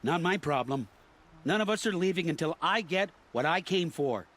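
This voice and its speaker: A stubborn farmer turned hunter for a video game. His voice is somewhat high for a man, with a defensive, insistent edge of desperation. Faint ambient noise runs behind him. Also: expressive, moderate pace, fairly bright tone. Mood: defiant.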